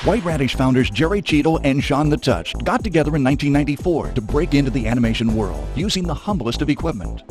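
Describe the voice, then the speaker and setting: A man's voice: mid-range voice, expressive, quick pace, hardly any pauses. A man reading scripted documentary narration as the narrator. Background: background music.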